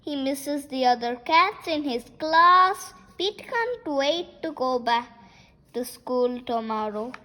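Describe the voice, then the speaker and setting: A six-year-old child reading picture books aloud in a halting, word-by-word way, as the host of a story time. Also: high voice, expressive, sharp tone. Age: child, 6 years old.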